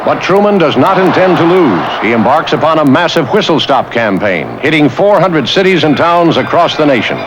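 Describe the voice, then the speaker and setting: A man's voice: fairly high pitch, very animated, moderate pace, hardly any pauses, background noise. An American man narrating history from a script in the manner of a newsreel documentary.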